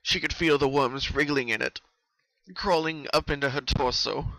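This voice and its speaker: A storyteller reads horror fiction with theatrical intensity. The voice is sharp and forceful, recorded dry without room sound. The delivery is scripted and dramatic. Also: medium pitch, moderate pace, dry recording, rough recording. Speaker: narrator.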